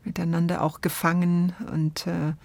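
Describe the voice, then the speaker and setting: A woman hosting a radio drama podcast, talking casually and thoughtfully about plays, often pausing to search for words. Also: on the low side, flat delivery, vocal fry, a little mellow.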